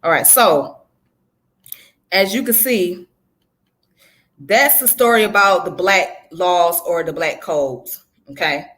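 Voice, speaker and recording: A woman's voice: on the low side, takes it slowly, pauses often, fairly bright tone. A woman from Georgia gives informal educational commentary, explaining conversationally and often checking in with the listener. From southern United States, Georgia. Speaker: history presenter.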